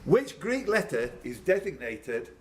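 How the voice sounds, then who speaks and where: Informal male quizmaster with lively pitch swings. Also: measured pace. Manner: informal, teasing, stumbles and self-corrects while reading. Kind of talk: live quiz question reading with banter. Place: indoors at a pub quiz tournament.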